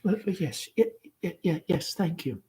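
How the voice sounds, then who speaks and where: A man voicing a painfully shy young woman in a play, with a thin, breathy, faint voice and nervous stammering. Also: sits high, animated, a little slow, warm tone, voice pushed up. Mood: timid, faint. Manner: hesitant, stammering. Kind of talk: play read-aloud.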